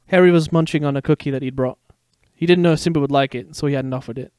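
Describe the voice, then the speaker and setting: Guy narrating a story dramatically, bit high voice, really dry sound. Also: quick pace, a little mellow, clear, resonant tone, no echo. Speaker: fan fiction reader. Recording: background music under a dry voice.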